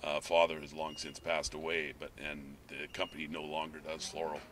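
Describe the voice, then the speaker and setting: A man's voice: extremely low pitch, little pitch movement, normal speed, fairly bright tone. A middle-aged man from Canada, a nursery company president, answering interview questions casually and rambling with many restarts. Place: outdoors on a farm, then inside a greenhouse. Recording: noisy outdoor recording.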